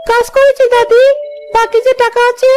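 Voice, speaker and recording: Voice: very high-pitched, level tone, moderate pace. A performer voicing a young village boy, an earnest, polite and protective brother in a moral-story cartoon. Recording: loud music bed under voice.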